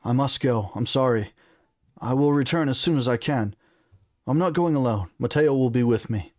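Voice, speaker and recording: A man's voice: medium pitch, moderate pace, darkish tone, no room sound, clean studio sound, lightly acted. Storybook prince played by a male reader: young, honorable, defiant, speaking firmly and earnestly. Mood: resolute, defiant. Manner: firm, earnest.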